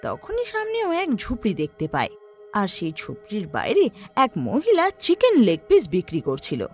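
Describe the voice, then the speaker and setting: A woman's voice: fairly high pitch, huge pitch swings, normal speed. Woman narrator, wildly swinging dramatic delivery. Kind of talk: dramatic scripted horror story narration. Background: background music.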